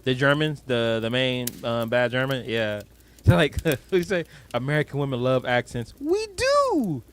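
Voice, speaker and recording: A man's voice: medium pitch, very animated, unhurried, smooth. A young man co-hosting a podcast, chatting casually and animatedly about a movie, laughing often and swearing. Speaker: podcast co-host.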